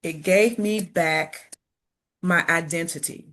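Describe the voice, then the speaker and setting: A woman's voice: on the low side, takes it slowly, fairly bright tone. A middle-aged woman from Chicago, a motivational speaker who stutters and repeats words, talking earnestly and encouragingly to an audience. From Chicago, United States. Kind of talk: personal talk to an audience.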